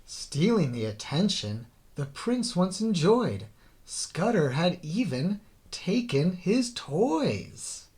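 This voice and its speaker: A man reads a rhyming children's tale as a storybook narrator. His delivery is theatrical and deliberate, with a slow pace and drawn-out phrase endings. The pitch swings widely as he goes. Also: very animated, darkish tone. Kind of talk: dramatic scripted children's story narration in rhyme.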